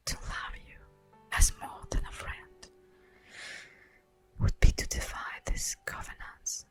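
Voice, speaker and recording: A woman playing a solemn, tender elf guardian of the forest in a breathy, whispered ASMR roleplay, speaking formally and poetically. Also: natural voice. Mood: solemn, grave. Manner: slow, formal, poetic, drawn-out delivery.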